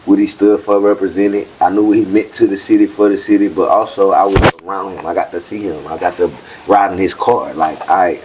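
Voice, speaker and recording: A man's voice: sits low, steady tone, fairly quick, hardly any pauses, darkish tone, rough recording. Laid-back man in his thirties, faint hiss. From New Orleans, US. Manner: laid-back, candid, rambling with repeated "like". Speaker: guest rapper. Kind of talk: casual interview talk.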